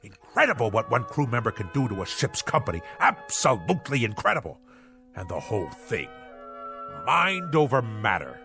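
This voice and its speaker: An actor in a radio drama playing a pompous, manic husband obsessed with the navy, declaiming in grandiose nautical bombast. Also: male voice, lowish voice, huge pitch swings, moderate pace, pushed and bright, faint music behind, exaggerated voice. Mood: muttering, incredulous. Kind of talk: theatrical radio drama acting.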